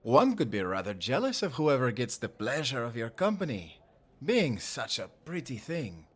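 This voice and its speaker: A man with a Spanish accent playing a suave, smug, flirtatious gentleman warlock in an audio roleplay, formal and condescending. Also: medium pitch, huge pitch swings, moderate pace, a little hiss or hum. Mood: smooth, flirtatious. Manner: formal, smooth-talking, condescending.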